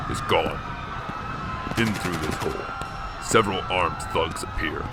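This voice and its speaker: A man's voice: low voice, heavy background noise. A man playing a grim, terse vigilante hero who narrates and acts in a radio drama. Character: grim male vigilante hero narrating his night's work. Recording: music behind.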